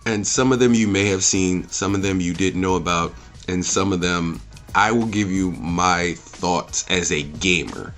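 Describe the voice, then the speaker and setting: A man's voice: sits low, a little slow, fairly bright tone, faint music behind. A man hosting an opinionated review rant, speaking in an emphatic, blunt, profane way and repeating phrases for punch. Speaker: video game movie reviewer and channel host.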